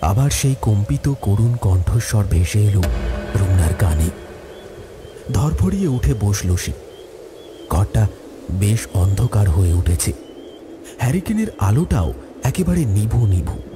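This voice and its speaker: A man's voice: lowish voice, background noise. A man giving a scripted, dramatic narration of a horror story.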